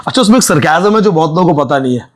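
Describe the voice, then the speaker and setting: A man's voice: on the high side, animated, very fast. A man hosting a podcast, asking questions in encouraging, casual Hindi mixed with English. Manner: mixes English into Hindi, encouraging, many you-knows. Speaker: podcast host. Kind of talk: casual interview chat.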